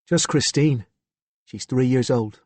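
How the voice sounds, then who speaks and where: A man voicing an earnest, impish thirteen-year-old boy with a Cockney accent and crisp, childlike delivery for an audiobook. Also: mid-range voice, takes it slowly, a little mellow, dry recording. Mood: open, cheerful.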